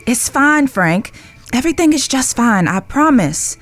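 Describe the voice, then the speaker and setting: Frontier heroine, female actor: young, anxious, secretive, high lively voice, soft music behind. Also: a little slow, heavily acted. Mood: placating, anxious. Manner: pleading, placating. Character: anxious, secretive young lady of the Old West. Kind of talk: Western audio drama acting.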